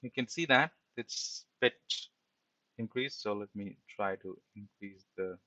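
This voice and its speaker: A man's voice: fairly low pitch, measured pace, bright, forceful tone, no room sound, highs cut off. A man giving an informal screen-share programming tutorial as an instructor, explaining step by step and often checking in with a short tag question.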